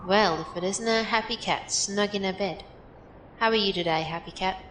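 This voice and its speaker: A woman's voice: normal speed, lightly acted. A woman playing a breezy, blunt, tough female teammate in an audio drama, talking in a casual, folksy, direct way. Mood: teasing, breezy. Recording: echoey room with faint noise, rumble.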